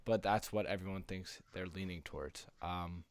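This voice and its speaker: A man co-hosting a podcast, chatting informally and playfully in an animated way. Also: low voice, moderate pace. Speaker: podcast co-host. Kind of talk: casual chat.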